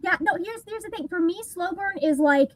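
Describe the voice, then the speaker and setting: A woman's voice: very high-pitched, moderate pace, no room sound. A woman on a video call giving blunt, casual opinions full of "like" fillers. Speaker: co-host.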